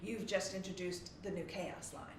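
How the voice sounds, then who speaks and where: A woman's voice: fairly low pitch, level tone, normal speed, a little hiss or hum. Friendly woman interviewer, echoey room. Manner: friendly, laughs often, prompts with short questions. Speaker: interviewer, watch journalist. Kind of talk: casual interview.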